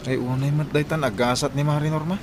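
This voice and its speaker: A man's voice: on the high side, fairly even intonation, quick pace, slight background noise, close to an everyday voice. A man with an Ilocano accent playing a patient, hardworking middle-aged husband and father in a Filipino radio drama. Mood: concerned, friendly.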